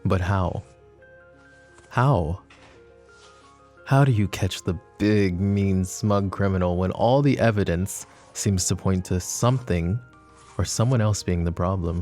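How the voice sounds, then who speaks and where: A man voicing a smug, flirtatious mafia boss who teases and taunts the woman questioning him, in soft-spoken roleplay ASMR. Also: low-pitched. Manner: teasing, taunting, calls her pet names.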